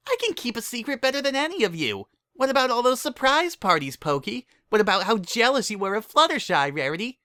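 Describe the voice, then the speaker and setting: A narrator voicing an excitable, chatty young female cartoon party pony with a squeaky, girlish, bubbly delivery. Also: fairly quick, few pauses, dry recording, studio quality. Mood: indignant. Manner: bubbly, gushing, emphatic.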